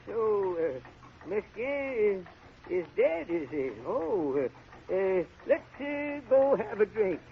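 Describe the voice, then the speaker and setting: Old peasant gravedigger, very high wavering voice, stammering, exclamatory, muffled noisy old radio recording. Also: male voice, sing-song, takes it slowly, full character voice. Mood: wheedling, nervous. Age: older. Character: excitable, wheedling old peasant gravedigger, loyal and easily frightened. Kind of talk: golden age radio drama acting.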